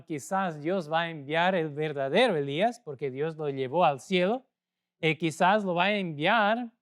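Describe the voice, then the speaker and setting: A man from Portugal with a Portuguese accent, a native Portuguese speaker, preaching a sermon as a pastor in a measured way with pauses. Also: a little high, clean tone. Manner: measured, pausing, speaking a second language.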